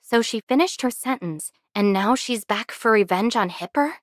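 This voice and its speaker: A woman's voice: a little high, expressive, normal speed, no room sound, studio quality, natural voice. A woman voicing a curious female bystander in an anime video game, speaking in an inquisitive way. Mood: curious, wary.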